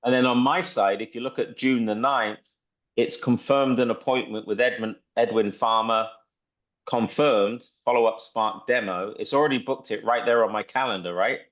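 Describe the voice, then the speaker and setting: A man giving a casual live software walkthrough as presenter, enthusiastic and often repeating himself, on muffled online call audio. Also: mid-range voice, steady tone, moderate pace.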